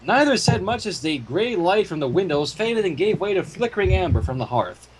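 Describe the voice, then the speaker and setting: A man narrating fan fiction as an expressive storyteller. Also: high-pitched, fairly quick, a little hiss or hum, rough recording.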